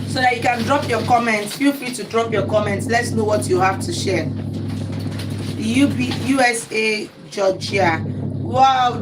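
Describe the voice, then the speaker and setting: Chatty woman over loud noise. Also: a little high. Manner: chatty, repeats calls to like and subscribe. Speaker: host. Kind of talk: live-stream chat with viewers. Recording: loud background noise with passing vehicles.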